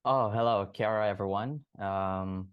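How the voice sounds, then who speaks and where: A young man from the Philippines, a film student, speaking as a podcast guest in a nervous, halting way full of fillers. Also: fairly low pitch, steady tone, smooth, dry recording, dull audio. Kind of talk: casual interview.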